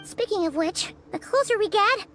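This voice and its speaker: A squeaky, very high fairy companion, bubbly and excitable, bouncing all over her pitch. She is a tiny floating creature who chatters about herself in the third person. Game music plays behind her. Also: moderate pace. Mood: excited.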